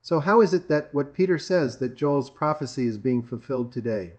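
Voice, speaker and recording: A man's voice: medium pitch, normal speed, a little mellow. A man from Greece preaching a sermon, emphatic and repetitive, asking rhetorical questions.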